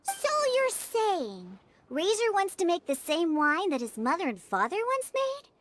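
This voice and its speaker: A woman's voice: extremely high pitch, wildly swooping pitch, unhurried, noisy background, full character voice. A woman voicing a feisty, grumpy little girl in a video game, scolding in an indignant, dramatic manner. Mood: suspicious. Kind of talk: animated video game cutscene acting.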